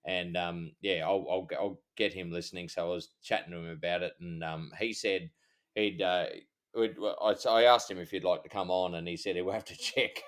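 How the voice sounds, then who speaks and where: A middle-aged Australian man, a former cop co-hosting a podcast, chatting in a rambling, halting, easygoing way. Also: sits low, steady tone. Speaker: podcast co-host, former police officer. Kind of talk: casual chat.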